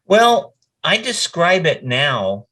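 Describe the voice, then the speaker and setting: Slow-paced man chatting casually in an interview. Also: on the high side, very animated, sharp tone. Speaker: voice actor, interview guest.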